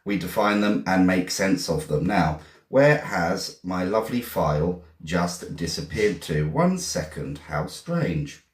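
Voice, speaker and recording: An English man teaches vocabulary on a live stream, warmly greeting viewers and asking the same question again and again. His voice sits low but swings widely up and down. It rings a little in a roomy space. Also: expressive. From England. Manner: chatty and encouraging, greets viewers by country; repeats questions, slows to spell words out.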